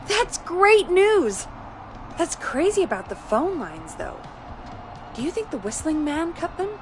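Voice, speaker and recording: A woman's voice: extremely high pitch, big pitch swings, measured pace, faint music behind, exaggerated voice. A woman playing a friendly, supportive radio show producer in dramatic game voice acting. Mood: relieved, upbeat.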